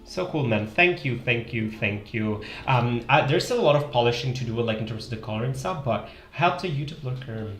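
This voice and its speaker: Italian man, young adult, live-stream host; rambling chat, roomy echo, soft music beneath. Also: medium pitch, animated, fairly quick, hardly any pauses. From Italy. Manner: chatty, thinks aloud, trailing fillers. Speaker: livestream host. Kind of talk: casual live-stream chat while drawing. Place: small room indoors. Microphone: headset. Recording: echoey room with faint music.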